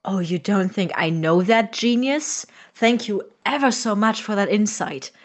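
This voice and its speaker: A man's voice: sits high, measured pace. An exasperated young male medical student in an audio drama. He is anxious and cuttingly sarcastic, a reluctant accomplice who speaks in a natural, everyday voice. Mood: sarcastic, irritated. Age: young adult.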